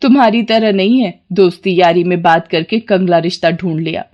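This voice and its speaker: A woman's voice: fairly low pitch, steady tone, moderate pace, highs cut off, lightly acted. A haughty, rich mother-in-law of middle age, put on by a woman storyteller. She is scornful and melodramatic, a schemer from a family morality tale.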